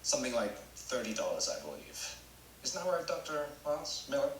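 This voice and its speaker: An actor at a table read playing a matter-of-fact biology teacher lecturing a class in a dry, formal, deliberate way. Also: male voice, mid-range voice, big pitch swings, echoey room, far from the mic, slight background noise, close to an everyday voice. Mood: deadpan. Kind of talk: live comedy table read.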